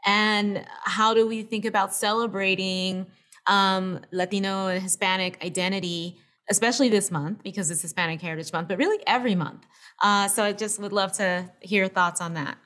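Bright-voiced woman moderating a panel. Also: medium pitch, fairly even intonation, moderate pace. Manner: upbeat, asks long questions. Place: room at home, indoors. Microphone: video call.

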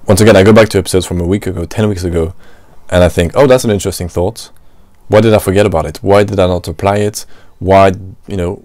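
Low-voiced French-accented man musing aloud. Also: darkish tone, dry recording. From France. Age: adult, works at a restaurant. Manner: thinking aloud, many fillers like "you know" and "right". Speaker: podcast host. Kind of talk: reflective solo monologue.

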